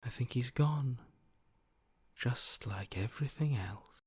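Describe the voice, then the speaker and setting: A cold, amoral male voice in someone's head for a video game. He speaks slowly at a middling pitch, with detached, matter-of-fact calm and a dry sardonic edge. The sound is close and dry, with no room around it. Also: breathy edge, no room sound. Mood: detached, calculating. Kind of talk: video game character acting.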